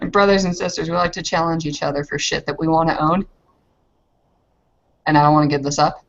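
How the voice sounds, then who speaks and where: A woman's voice: sits low, fairly even intonation, fairly quick, vocal fry, muffled recording. A woman playing a jovial, cocky, sweary young female werewolf brawler in a casual, cheeky way.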